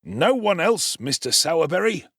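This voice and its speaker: A man's voice: fairly high pitch, sing-song, moderate pace, a bit bright, husky, no echo, clean studio sound, pitched above the performer's own voice, exaggerated voice. A man voicing a pompous, blustering middle-aged parish official.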